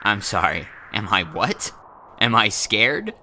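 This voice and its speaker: A man playing an earnest, touchy robot warrior in an audio drama, with a smooth-talking, slightly wry manner. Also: lowish voice, very animated, slow pace, bright, forceful tone, slight background noise, heavily acted. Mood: affronted.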